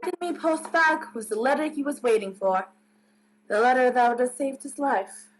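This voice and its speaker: Woman playing high-voiced rustic village postman. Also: moderate pace, a little hiss or hum, close to an everyday voice, low-quality recording. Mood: grave. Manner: folksy dialect, emphatic tag phrases. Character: Gilly, plain-spoken rustic village postman. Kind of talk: radio play acting.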